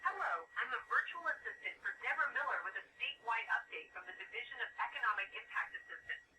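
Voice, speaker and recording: A high, quick female virtual assistant reads a scripted phone message. It is played back through a telephone, slightly distant with faint noise. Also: animated, bright, forceful tone. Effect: telephone playback.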